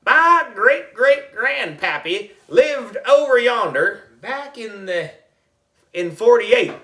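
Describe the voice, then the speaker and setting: A man voicing a folksy old groundskeeper in a storybook, with a slow rural American drawl. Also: very high voice, bright, forceful tone, pitched above the performer's own voice, exaggerated voice. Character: old drawling folksy male groundskeeper, rustic gold hunter.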